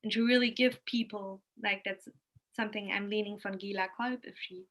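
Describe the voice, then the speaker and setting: Female guest in informal panel talk, forward bright tone, medium pitch, earnest. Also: steady tone, normal speed. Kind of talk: unscripted discussion.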